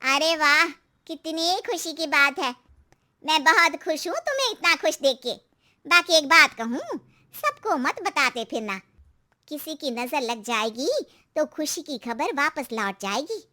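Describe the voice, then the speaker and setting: A knowing cartoon auntie, put on by a woman, talks in a high voice. She is a superstitious busybody who stretches out her words with cautionary emphasis. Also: animated, a little slow, pushed and bright, heavily acted. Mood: congratulatory, cautioning.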